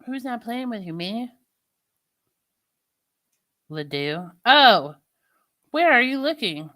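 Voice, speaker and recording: A woman with a St. Louis accent in casual chat with viewers, talking in a slow, drawling way. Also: fairly high pitch, very animated, bright, forceful tone. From Midwestern United States. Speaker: streamer chatting with viewers.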